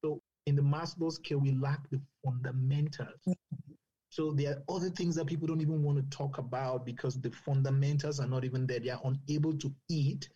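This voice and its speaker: A man from Cameroon, a doctor speaking as a guest in a panel discussion, passionate and measured, often repeating phrases for emphasis. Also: mid-range voice, fairly even intonation, warm tone, dull audio.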